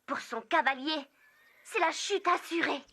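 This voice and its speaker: Stern, bright, high-pitched inspector. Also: female voice, measured pace, heavily acted. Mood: critical. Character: stern, fault-finding female stable inspector. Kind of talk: French cartoon dubbing.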